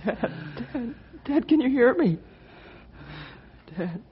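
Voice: medium pitch, very animated, takes it slowly, husky, exaggerated voice. A performer playing an earnest, devoted young boy in a radio drama. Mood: tearful, pleading. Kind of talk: old-time radio drama acting. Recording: dull old radio recording, faint noise.

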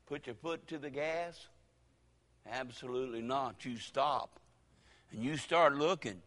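An older man preaching a folksy sermon as pastor, with dramatic pauses and sudden loud rises. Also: mid-range voice, in a large hall. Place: church.

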